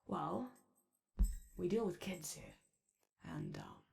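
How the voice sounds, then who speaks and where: Woman voicing an anxious, animated robot daycare attendant. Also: on the low side, creaky, faint music behind, exaggerated voice. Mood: flustered, rambling. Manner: hesitant, rambling, halting speech. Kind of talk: improvised-sounding ASMR character roleplay.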